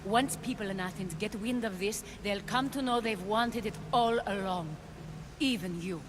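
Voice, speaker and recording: An actress playing a persuasive, cunning woman schemer in a video game cutscene, with a smooth, coaxing delivery. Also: medium pitch, normal speed, noisy background, close to an everyday voice. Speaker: game character.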